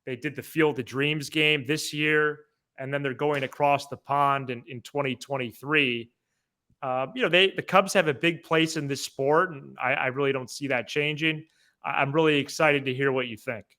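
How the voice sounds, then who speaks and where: A man's voice: medium pitch, steady tone, moderate pace, pushed and bright. A man co-hosting a sports podcast, speaking in a casual, conversational style.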